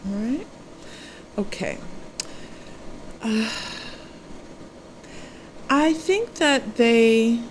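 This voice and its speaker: A woman host speaking casually to viewers as a tarot reader, gently reassuring and halting as she reflects. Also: medium pitch, low-quality recording. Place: temporary indoor recording spot. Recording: steady air-conditioner hum behind voice. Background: air conditioning running.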